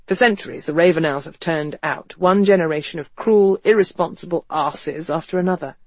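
Man voicing a wry, high-pitched English gentleman. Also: a little fast, dry recording, lightly acted. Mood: bitter, scornful. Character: wry upper-class Englishman, an impassioned period-novel gentleman. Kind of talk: audiobook character dialogue.

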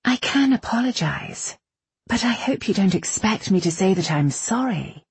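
A woman's voice: mid-range voice, animated, normal speed, slightly rough, no echo, pitched above the performer's own voice. A woman narrator voicing an elegant, haughty female rival with a cold, disdainful, clipped way of talking.

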